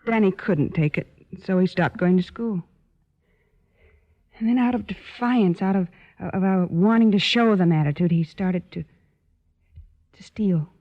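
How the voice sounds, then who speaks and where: A woman's voice: lowish voice, unhurried, pauses often. An anxious, protective sister in a vintage radio play. She speaks hesitantly and earnestly, pleading, and the sound is dull and lo-fi. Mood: sorrowful. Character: anxious, pleading older sister of wayward boy. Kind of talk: old-time radio drama acting. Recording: dull, lo-fi old radio recording.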